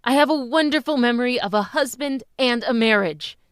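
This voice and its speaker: A fairly high female voice of an actress plays a proud, guarded mother in an old-time radio play. She speaks haltingly when pressed. The recording is dry and close. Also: clean tone, no room sound, close to an everyday voice. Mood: defensive.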